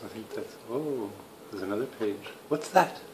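A man chatting casually as a father with a toddler, gently prompting with questions. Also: fairly low pitch, expressive, normal speed. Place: tiled living room at home. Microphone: handheld phone, behind the camera. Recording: noisy home phone video.

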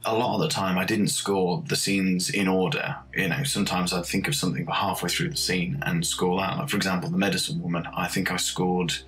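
A man's voice: low voice, level tone, a little fast, hardly any pauses, fairly bright tone, a little hiss or hum. A man, a composer, talking casually in an interview about his own work. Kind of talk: casual behind-the-scenes reminiscence.

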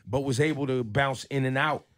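A man's voice: mid-range voice, steady tone, normal speed, no echo. A man in his forties from California hosting a radio studio discussion, emphatic and full of rhetorical questions. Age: 40s. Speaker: radio host. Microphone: studio mic on boom, headphones.

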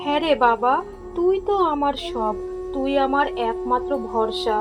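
A woman's voice: sits high, animated, normal speed, music in the background. A woman voicing a tender, long-suffering poor village mother in a cartoon story, pleading and affectionate. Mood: sorrowful loving. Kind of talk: cartoon voice acting.

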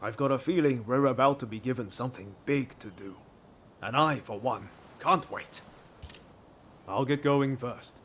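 Man acting an easygoing, mid-pitched samurai buddy. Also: moderate pace, a bit bright, a little hiss or hum, close to an everyday voice. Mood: eager, relaxed. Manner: casual, chummy, upbeat. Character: easygoing, eager, friendly samurai companion. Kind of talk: scripted video game cutscene acting.

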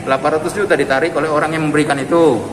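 Middle-aged male prosecutor, halting explainer, recorded on handheld phones in an echoey hall. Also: fairly high pitch, steady tone, distant mic. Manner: halting, restarts mid-word, explaining patiently. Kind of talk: press doorstep interview. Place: indoor courtroom hallway. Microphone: reporters' phones held close. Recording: echoey room, loud background noise.

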